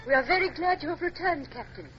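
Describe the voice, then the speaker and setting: A woman's voice: very high-pitched, fast. A woman in an old radio drama playing a polite, solicitous lady aboard a naval ship. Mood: gracious, concerned. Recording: muffled old radio recording with music.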